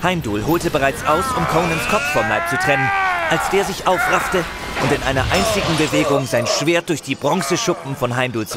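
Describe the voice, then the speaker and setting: A man narrating a fantasy audio drama in a solemn, measured, expressive storytelling manner. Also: mid-range voice, very noisy. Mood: dramatic, urgent. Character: solemn male narrator of a barbarian saga.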